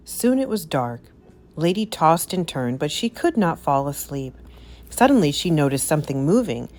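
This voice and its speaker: Expressive woman storyteller with animated pitch. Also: moderate pace, soft background music. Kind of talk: expressive children's read-aloud narration.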